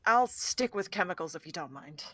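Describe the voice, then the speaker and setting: A woman's voice: on the low side, lively intonation, normal speed, a bit bright. A woman reading the part of a bitter, fearful crew member in a script table read, dry and gloomy. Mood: resigned, uneasy.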